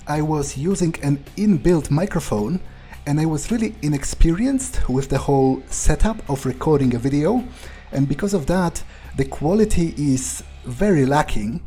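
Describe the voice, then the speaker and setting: Soft, mellow-voiced man introducing a game. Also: a little high, slightly rough. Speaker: let's play host. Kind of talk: casual explanatory gameplay commentary.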